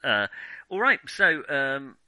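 A man with a very bright, sharp voice chats casually about film as a host. He feels his way through opinions, hesitating and qualifying them. The sound is close and dry. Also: mid-range voice, very animated, takes it slowly, no room sound.

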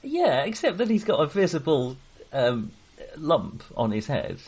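A man's voice: on the high side, wildly swooping pitch, measured pace, a little mellow, slightly rough. A man hosting a casual film discussion, speaking hesitantly and often correcting himself as he digresses. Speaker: podcast host.

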